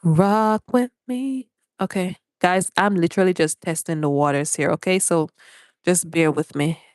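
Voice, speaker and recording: Jamaican woman, stream host, smooth low-ish voice, dry close sound, friendly chatter with viewers. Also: moderate pace, a little mellow, no echo. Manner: chatty, greets viewers by name and reads comments aloud. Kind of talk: casual live-stream chat. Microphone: phone held for a vertical live stream.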